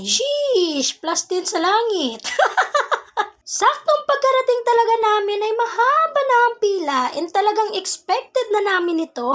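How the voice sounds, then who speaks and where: Young woman, Filipino accent, extremely high voice, lively swooping intonation, playful vlog narration, giggly. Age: young adult. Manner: playful, giggly, mixes English into Tagalog. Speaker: vlogger. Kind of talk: scripted casual vlog narration with slang.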